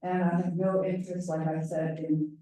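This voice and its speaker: Loud older woman in echoey hall. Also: fairly low pitch, little pitch movement, moderate pace. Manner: chatty, self-deprecating, wandering asides. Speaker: volunteer presenter on ballot issues. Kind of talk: informal public briefing. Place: large meeting room. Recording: very echoey room, voice from far off.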